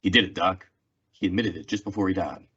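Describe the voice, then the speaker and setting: A man playing a stoic, plainspoken Old West lawman in a radio western, terse, restrained and polite, with a husky voice. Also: sits low, fast talker, bright, forceful tone, full character voice. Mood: grim, matter-of-fact. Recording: dull lo-fi radio drama recording.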